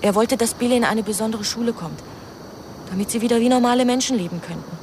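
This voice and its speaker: A woman's voice: on the high side, quick pace, a little mellow, slight background noise, natural voice. An actress playing a resentful young woman confiding secrets hesitantly in a radio crime drama. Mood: confiding, bitter.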